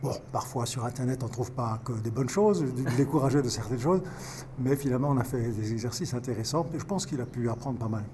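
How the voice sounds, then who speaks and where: A man in his fifties, a pastor volunteering as a tutor, answering interview questions in a halting way with many restarts. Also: medium pitch, dark tone, slight background noise. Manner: halting, restarts words often.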